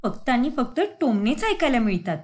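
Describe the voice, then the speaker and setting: A stubborn young daughter-in-law in a cartoon story, played by a woman. She complains in a high, swooping voice, dramatic and aggrieved. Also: sing-song, brisk. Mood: hurt, indignant. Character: whiny, stubborn young daughter-in-law who loves food. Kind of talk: animated moral story dialogue.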